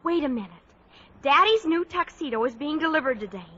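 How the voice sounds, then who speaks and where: An actress playing a bubbly, eager teenage high school girl in a 1940s radio comedy, chirpy and earnest. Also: expressive, a little fast, sharp tone. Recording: dull old radio recording.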